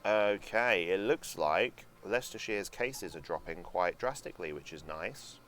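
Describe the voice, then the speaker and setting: Low-voiced chatty male streamer. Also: animated, measured pace, fairly bright tone, slight background noise. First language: German. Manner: chatty and sarcastic, swears, muses to chat. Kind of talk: casual live-stream commentary.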